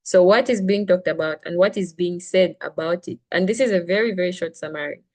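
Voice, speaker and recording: Young woman from Zambia, casual tutor, talks loosely with fillers, slightly low pitch. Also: fairly quick, darkish tone. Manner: chatty, frequent "like" and "right?", restarts sentences. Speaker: SAT reading tutor. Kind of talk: casual classroom teaching.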